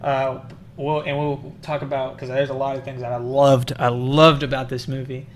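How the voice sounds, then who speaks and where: A man hosting a casual film discussion in an excitable, stammering way, often restarting his phrases. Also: mid-range voice, moderate pace, slight background noise. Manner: excitable, stammering with repeated starts. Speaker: host of a film review discussion. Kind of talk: casual chat.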